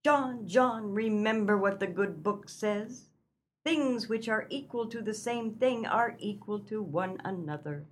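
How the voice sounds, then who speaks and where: A woman's voice: mid-range voice, a little slow, natural voice. An actress playing a kindly, fretful old farmer's wife in a comic radio melodrama, earnest and motherly in a theatrical way. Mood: pleading.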